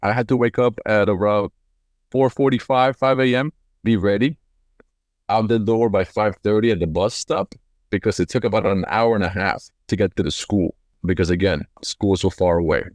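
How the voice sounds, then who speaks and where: Deep-voiced man from Colombia, casual storyteller. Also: normal speed, a little mellow, dry recording. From Cali, Colombia. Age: 40s. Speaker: company CEO being interviewed. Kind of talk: casual autobiographical storytelling in an interview.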